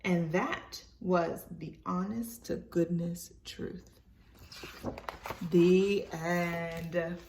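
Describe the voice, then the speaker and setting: A woman's voice: on the low side, fairly even intonation, extremely slow, gravelly, distant mic, noisy background. A woman reading a storybook aloud expressively for children, encouraging and speaking to them as friends. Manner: encouraging, addresses young listeners as friends.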